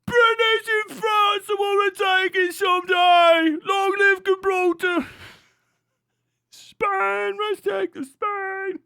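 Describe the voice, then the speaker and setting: A man's voice: very high voice, flat delivery, slow, creaky, pushed and bright, dry recording, pitched above the performer's own voice, full character voice. A man putting on mocked British and Irish men, belligerent and proud, declaiming in a comedy rant on a live stream. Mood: bellowing.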